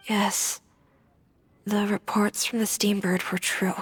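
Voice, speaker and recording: Breathy wistful young woman in reverb. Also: mid-range voice, level tone, very slow, a bit bright, full character voice. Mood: sorrowful. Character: wistful grieving young woman clinging to her dream. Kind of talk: video game voice acting. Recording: long dreamlike hall reverb.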